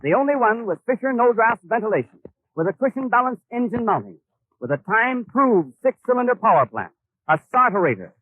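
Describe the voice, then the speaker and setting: American male announcer for vintage radio ad; high, swooping, emphatic sales delivery on a narrow old broadcast. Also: no room sound. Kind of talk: 1930s radio commercial announcing.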